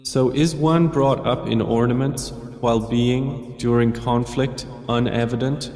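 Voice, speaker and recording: A man giving a solemn scripted reading of scripture translation in measured, deliberate short phrases. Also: medium pitch, steady tone, a little mellow. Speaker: translation narrator. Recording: heavy echo, long reverb.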